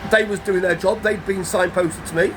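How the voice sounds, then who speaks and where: A man, croaky from a cold, telling a rambling, guarded story to his vlog audience, full of restarts. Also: high-pitched, steady tone, moderate pace. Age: 50s. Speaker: vlogger. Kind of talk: casual story to camera. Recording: outdoor traffic noise behind.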